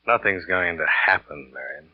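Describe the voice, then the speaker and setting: Dull vintage radio recording, a cool scheming American ex-lover, low swooping voice, sardonic, offhand, 1940s thriller style. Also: male voice, lively intonation, moderate pace, dry recording. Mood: cool, probing. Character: scheming, cynical ex-lover, a smooth-lying aircraft salesman. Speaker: lead actor and first-person narrator. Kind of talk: 1940s radio thriller acting with confessional narration.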